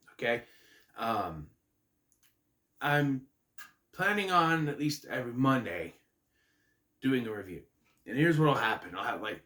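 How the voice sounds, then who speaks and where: A man hosting his own wrestling review vlog, rambling casually while getting over a cold, a bit nasal and clearing his throat. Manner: chatty, self-interrupting, lively pitch swings. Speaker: host of a wrestling review channel. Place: his room at home. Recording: echoey room.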